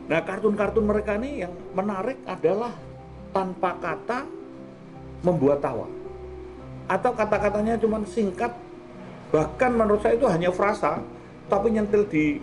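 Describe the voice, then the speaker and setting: A man's voice: high-pitched, moderate pace, long pauses. Chatty Javanese-accented man over music. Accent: Javanese-accented Indonesian. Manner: chatty, peppers talk with colloquial Javanese words and tag questions. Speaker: host of an art talk show. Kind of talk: casual, rambling discussion.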